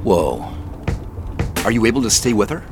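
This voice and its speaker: A man's voice: lowish voice, sing-song, normal speed, music in the background. A man acting in an audio drama as a wary, concerned character directing a tail by phone, talking in a natural, reactive way. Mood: surprised, uneasy.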